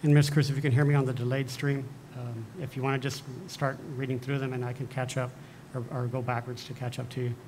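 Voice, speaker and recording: A man at a school board meeting calmly explaining a streaming delay and moving through slides. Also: fast, hardly any pauses. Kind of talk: meeting logistics talk. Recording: meeting room mic with clear rumble.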